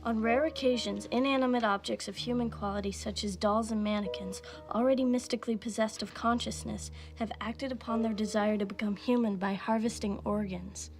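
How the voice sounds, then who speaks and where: A studious teenage girl played by a woman reads research aloud in earnest TV drama style. Her voice is fairly high, and music plays clearly behind her. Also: fairly even intonation, a little fast, few pauses, a little mellow, close to an everyday voice. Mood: earnest, intrigued. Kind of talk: TV drama acting, reading aloud.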